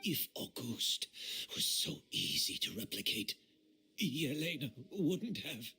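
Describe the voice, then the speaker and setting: Slow, swooping, bitter master perfumer. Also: male voice, on the high side, big pitch swings, bright, forceful tone, slightly rough, heavily acted. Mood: shaken disbelief. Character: older male master perfumer, obsessive, bitter, refined villain. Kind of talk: dramatic video game voice acting.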